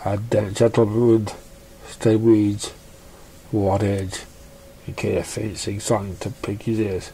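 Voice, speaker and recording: A storyteller narrating fan fiction expressively, with a somewhat halting, stumbling delivery. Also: deep voice, level tone, mellow, a little hiss or hum, low-quality recording.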